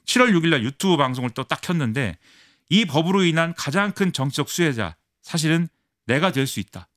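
A man giving fast, animated political analysis on a current-affairs radio show, explaining with many fillers. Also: fairly high pitch, no echo, studio quality. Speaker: political commentator.

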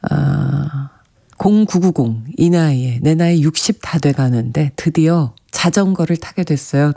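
Mellow-voiced classical radio host. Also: medium pitch, vocal fry, slightly rough. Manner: gentle, chatty, often laughing softly. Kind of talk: radio show, reading listener messages.

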